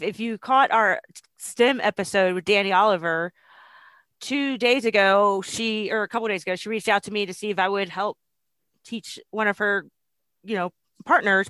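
A woman's voice: medium pitch, a bit bright, no room sound. A woman co-hosting a podcast who works as a brewer, talking in an upbeat, enthusiastic way. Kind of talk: casual chat.

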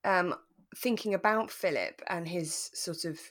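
Woman podcast host just chatting casually, voice a bit on the low side. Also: unhurried, fairly bright tone. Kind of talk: relaxed casual chat.

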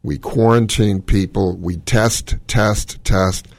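A low, gravelly man's voice with a husky, smoky edge, talking as a doctor on call-in radio. He explains at length and with strong opinions. The sound is close and dry. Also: steady tone, slow, no room sound. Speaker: cardiologist radio host.

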